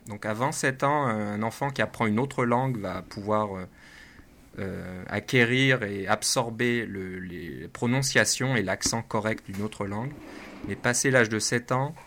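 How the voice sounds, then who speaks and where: A man from France with a French accent, co-hosting a casual tech podcast and chatting freely with lots of pauses and filler words. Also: fairly low pitch, a bit bright. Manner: chatty, many fillers and restarts. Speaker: podcast co-host.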